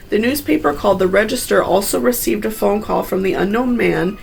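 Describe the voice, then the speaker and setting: A woman tells a true crime story as its host, moving between scripted narration and personal asides. Her voice sits at a medium pitch with a clearly creaky edge. She sounds earnest and cautionary, over a soft bed of music.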